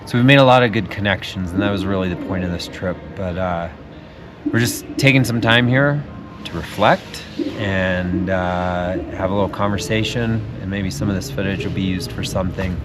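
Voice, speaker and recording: A man's voice: low-pitched, moderate pace, over music. A man hosting a travel vlog, talking casually and reflectively to camera. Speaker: travel vlog host, nonprofit representative. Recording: clear background ambient noise.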